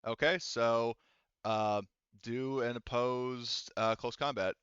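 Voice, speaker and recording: A man's voice: mid-range voice, fairly even intonation, takes it slowly, a bit bright, clean tone, no echo, muffled recording. A man narrating a tabletop game as game master, speaking casually and informally, with some swearing. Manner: profane, informal.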